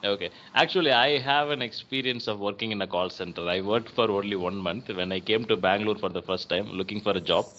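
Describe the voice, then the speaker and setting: A man with a Tamil accent hosting an English practice chat, speaking clearly in a friendly, encouraging way. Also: fairly low pitch, fairly even intonation, fairly quick, hardly any pauses, pushed and bright, dull audio. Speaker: host, English conversation partner.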